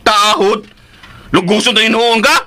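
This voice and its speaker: An actor with a Cebuano accent playing a folksy, feisty and protective uncle who teases and blusters in a radio drama. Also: male voice, high voice, fairly even intonation, measured pace, bright, forceful tone. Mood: defiant, angry. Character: feisty, teasing, protective uncle who stands his ground.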